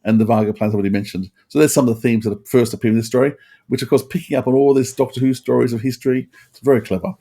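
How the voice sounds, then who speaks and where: A man's voice: medium pitch, steady tone, very fast, mellow. A man talking casually as a co-host in a podcast discussion.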